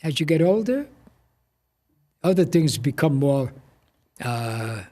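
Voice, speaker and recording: Male veteran in his eighties, slightly high pitch, pausing mid-sentence as he reminisces casually. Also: very animated, mellow. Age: 80s. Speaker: guest, war veteran.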